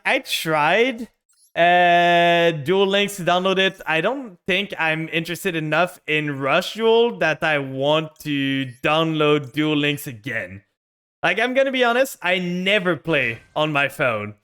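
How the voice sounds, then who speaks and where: Bright-voiced French-Canadian man, unhurried. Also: a little high, clean tone. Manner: thinks aloud, narrating each play to chat. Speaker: streamer. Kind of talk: casual game stream commentary.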